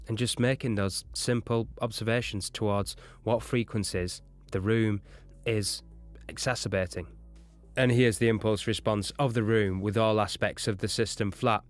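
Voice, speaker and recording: Guy with a lowish voice teaching audio engineering, explaining stuff step by step. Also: fairly even intonation, moderate pace, soft background music. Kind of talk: explanatory lesson narration.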